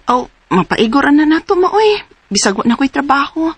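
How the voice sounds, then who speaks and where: A woman in her forties with a Cebuano accent playing a single daughter who cares for her mother in a radio drama, earnest and rising high in surprise. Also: sing-song, fairly quick, no room sound. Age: 40s. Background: music.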